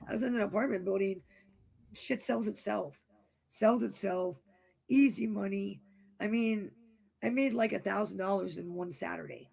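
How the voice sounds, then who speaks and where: A man with a raspy, damaged voice that is going hoarse, chatting frankly over a video call and repeating himself as he rambles. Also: sits high, normal speed, a little mellow, rough recording. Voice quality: raspy, gravelly voice from vocal cord damage. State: losing his voice, hoarse. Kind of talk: casual late-night chat.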